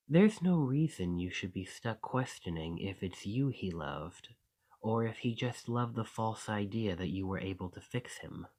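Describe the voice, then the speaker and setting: Male actor as possessive monster shapeshifter for ASMR roleplay: lowish voice, very unhurried, coaxing with quiet menace. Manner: coaxing, deliberate, softly menacing. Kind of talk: ASMR roleplay, intimate dramatic monologue.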